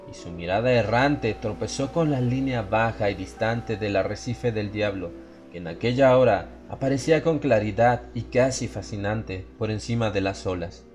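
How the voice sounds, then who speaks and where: A man's voice: lowish voice, a little mellow. Male horror audiobook narrator over music. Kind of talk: scripted first-person horror narration. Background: music behind the voice.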